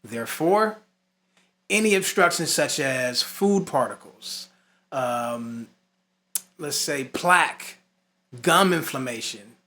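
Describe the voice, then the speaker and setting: A man's voice: mid-range voice, pushed and bright. A man hosting an informal tutorial, part scripted and part conversational, stressing and repeating his key points. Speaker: instructor and host of a talk box tutorial.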